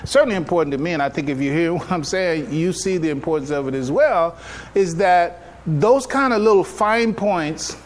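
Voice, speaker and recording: A man giving a religious lecture as an imam, conversational and halting, repeating phrases and checking in with his listeners. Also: on the high side, lively intonation. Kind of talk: religious lecture, explaining to an audience.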